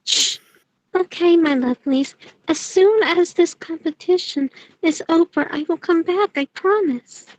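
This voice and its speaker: A woman's voice: very high-pitched, measured pace, no echo. A woman voicing a sweet, doting young female student in an amateur audio drama, on a muffled microphone. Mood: soothing, doting.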